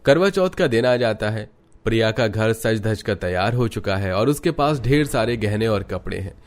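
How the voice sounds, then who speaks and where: A man's voice: fairly low pitch, lively intonation, moderate pace. A man reading scripted narration for a moral cartoon story.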